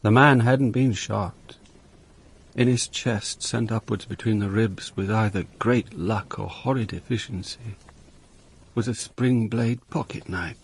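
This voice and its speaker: An actor playing a world-weary, middle-aged police inspector, narrating his case in a measured, dry, reflective radio drama style. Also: on the low side, husky. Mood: brisk, businesslike. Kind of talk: radio drama, first-person detective narration.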